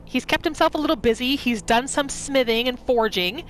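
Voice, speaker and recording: Woman doing casual roleplay game narration, bright chatty voice, soft music behind her. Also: high-pitched, normal speed, poor audio. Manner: chatty, informal, storytelling in third person. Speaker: gaming video host.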